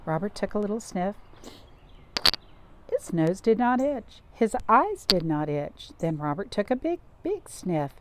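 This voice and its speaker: A woman's voice: medium pitch, very animated, measured pace, very noisy. A woman hosting a children's storytime, speaking in simple, repeated phrases and addressing young listeners directly. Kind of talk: read-aloud storytelling and craft talk for young children.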